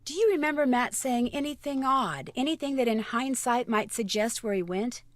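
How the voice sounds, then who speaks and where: A woman's voice: on the high side, lively intonation, moderate pace, no echo, natural voice. An actress playing a polite, inquisitive young female detective in a video game, speaking directly. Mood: polite probing.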